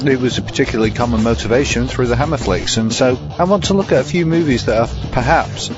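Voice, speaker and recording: A man's voice: mid-range voice, fast, lo-fi sound. A man hosting a podcast segment with scripted film commentary. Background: music.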